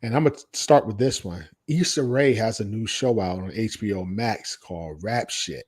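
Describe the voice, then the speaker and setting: A man's voice: lowish voice, normal speed, a little mellow, breathy edge. A man co-hosting a podcast in casual, slangy chat, with some swearing. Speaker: podcast co-host.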